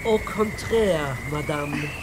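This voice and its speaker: A cocky space pilot with a high voice. He is teasing and sure of himself, in an audio drama with clear noise behind him. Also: slow. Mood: flirtatious, cocky. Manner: teasing, self-assured. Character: cocky, flirtatious male spaceship pilot, confident and breezy.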